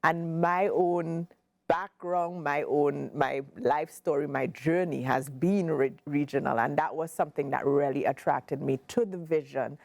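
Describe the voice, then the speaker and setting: A woman in her forties with a Caribbean accent, speaking as a guest CEO in a casual interview, measured and emphatic, repeating points for stress. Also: low-pitched, creaky, no echo. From Grenada.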